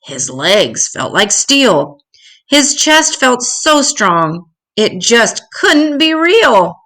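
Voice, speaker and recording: An older woman reading a rhyming children's story aloud in an expressive, dramatic, deliberate way. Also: fairly high pitch.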